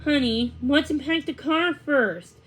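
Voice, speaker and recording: A woman's voice: sits high, takes it slowly, a bit bright, slight background noise. A woman reading a storybook, voicing a kind, affectionate mother of young children. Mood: patient.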